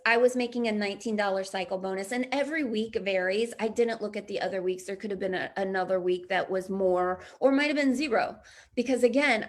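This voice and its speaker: A woman in her thirties leading an online business training, chatty and upbeat, with a slightly raspy voice. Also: medium pitch. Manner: upbeat, chatty, frequent laughs and throat clearing. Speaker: business coach.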